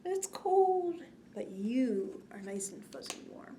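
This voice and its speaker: A woman in her forties hosting a children's bedtime story time, expressive, drawing out words and often dropping to a hushed, whispery tone. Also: a little high, slow. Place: indoors, small curtained set. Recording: clear background noise.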